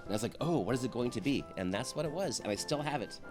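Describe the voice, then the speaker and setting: A man's voice: medium pitch, expressive, racing, music in the background. A man telling personal stories in a casual, chatty style on a panel show. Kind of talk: casual chat, personal storytelling.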